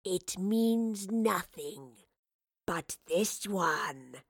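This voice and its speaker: A woman voicing a menacing ancient wraith in a stolen body, with a hissing, whispery, drawn-out delivery for an audio drama. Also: on the low side, lively intonation, creaky, slightly rough, no room sound, clean studio sound, heavily acted. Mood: sinister certainty. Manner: very slow, drawn-out, ominous.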